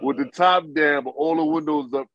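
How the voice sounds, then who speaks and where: A man with a New York accent, telling stories in animated, slangy casual chat on a podcast. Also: on the high side, brisk, no room sound. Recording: dry, muffled lo-fi mic.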